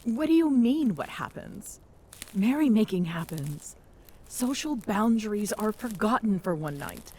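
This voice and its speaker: A woman's voice: medium pitch, sing-song, unhurried, a little mellow, breathy edge, poor audio. A woman playing a tall Amazonian queen in an intimate ASMR roleplay, smitten and teasing, bossy yet tender, often slipping toward a whisper. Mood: exasperated, playful. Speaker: voice actor in audio roleplay.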